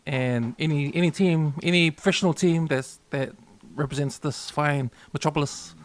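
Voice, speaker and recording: A man's voice: on the high side, steady tone, moderate pace. A man in his forties with a New Zealand accent, co-hosting a sports podcast in an easygoing, rambling way. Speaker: podcast co-host. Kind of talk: casual chat.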